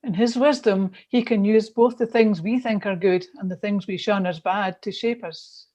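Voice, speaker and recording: A woman's voice: mid-range voice, moderate pace, mellow, highs cut off. A woman reading a scripted church devotional in an emphatic, earnest manner.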